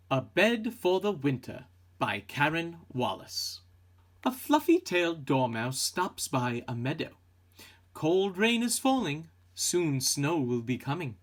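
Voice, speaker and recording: A man reading a children's picture book aloud as scripted narration, with expressive storytelling delivery. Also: fairly high pitch, unhurried. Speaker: storybook reader.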